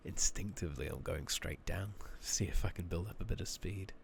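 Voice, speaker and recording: A man giving soft-spoken, partly whispered ASMR commentary on a game, muttering casually to himself on a noisy home mic with clicking. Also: sits low, moderate pace, gravelly. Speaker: ASMR gaming streamer. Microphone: makeshift rigged-up close microphone.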